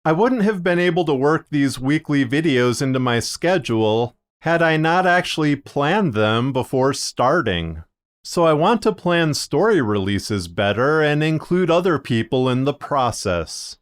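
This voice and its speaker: A man narrating a scripted video as a podcaster, speaking in measured, deliberate phrases with short pauses. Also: a little high, big pitch swings. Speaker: podcaster and fiction narrator presenting a video series.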